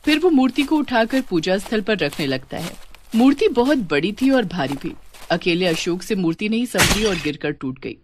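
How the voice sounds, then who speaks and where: A woman's voice: mid-range voice, big pitch swings, normal speed, noisy background. A woman narrating a scripted story as its storyteller.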